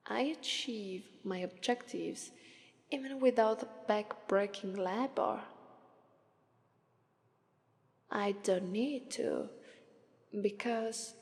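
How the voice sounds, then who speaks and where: Languid Italian-accented woman playing a demoness, slow and echoing. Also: on the high side, gravelly. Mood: languid, matter-of-fact. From Italy. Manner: slow, deadpan, unhurried, languid. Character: languid, aloof female sloth demon, coolly persuasive. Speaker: voice actress. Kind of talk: ASMR roleplay monologue. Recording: long reverberant echo on voice.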